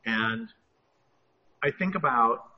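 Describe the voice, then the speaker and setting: A man's voice: on the high side, expressive, takes it slowly. A man speaking thoughtfully as a panel guest on a video call, telling stories unhurriedly. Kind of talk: reflective talk on an online call. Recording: muffled video call audio.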